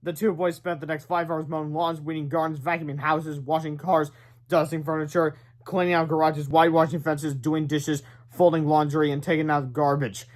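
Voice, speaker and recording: A man reads a children's book aloud. His voice sits a bit higher than most men's as he narrates the story. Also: level tone, moderate pace. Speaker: storybook reader.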